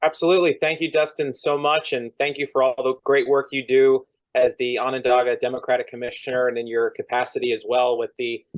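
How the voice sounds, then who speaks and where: A man's voice: medium pitch, little pitch movement, brisk, hardly any pauses. A man from Vermont, a lobbyist, answering interview questions over a video call in a fluent, polished way. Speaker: lobbyist and former legislative staffer.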